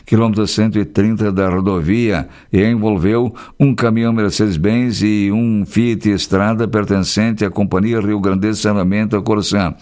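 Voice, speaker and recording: A radio news host, reading local stories and breaking off into folksy, chatty asides. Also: male voice, on the low side, fairly even intonation, normal speed, few pauses, darkish tone. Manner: chatty, folksy commentary between read news items.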